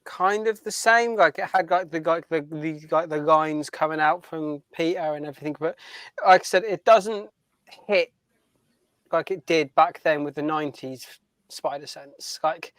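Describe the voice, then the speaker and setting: A man co-hosting a podcast, chatting informally in a halting, casual way. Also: fairly high pitch, a bit bright, no echo. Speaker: podcast co-host. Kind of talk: casual chat.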